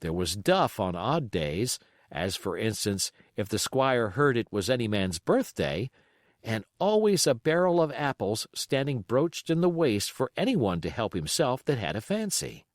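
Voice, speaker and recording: A man reading a classic adventure story aloud as an expressive audiobook narrator. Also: mid-range voice, a little slow, no echo, clean studio sound. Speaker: audiobook reader.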